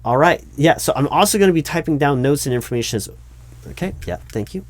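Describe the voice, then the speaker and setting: A man's voice: fairly high pitch, animated, fast talker, natural voice. A man playing a flustered, officious recruiting manager in a one-sided job interview roleplay, stammering and repeating himself. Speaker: roleplay performer.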